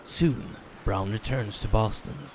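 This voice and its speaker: A man's voice: fairly low pitch, slow pace, darkish tone, a little hiss or hum, rough recording. Man giving a dramatic story narration.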